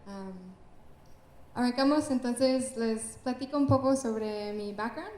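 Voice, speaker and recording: A woman's voice: a little high, slow, a little mellow. A young Canadian woman from Canada, speaking Spanish with an English accent as a startup founder on an event stage, hesitant and full of fillers. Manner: hesitant, many fillers, laughs between phrases. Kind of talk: onstage interview. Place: event stage before an audience. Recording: echoey room.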